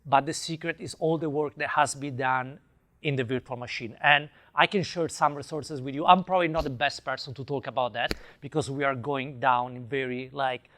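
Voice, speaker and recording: A man's voice: mid-range voice, fairly even intonation, normal speed, fairly bright tone. A man from Italy with an Italian accent, giving an enthusiastic conference talk and taking questions, with many fillers and restarts. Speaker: conference speaker. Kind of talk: tech talk with audience Q&A.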